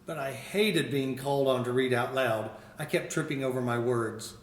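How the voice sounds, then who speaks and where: An older man, a school principal, reading a picture book aloud with expressive storytelling. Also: mid-range voice, normal speed, recorded from a distance. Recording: roomy echo.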